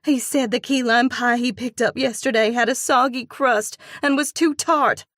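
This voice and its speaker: A woman voicing an excitable, outraged young woman friend who talks in an indignant rush. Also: no room sound, clean studio sound. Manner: rushed, indignant, high-pitched.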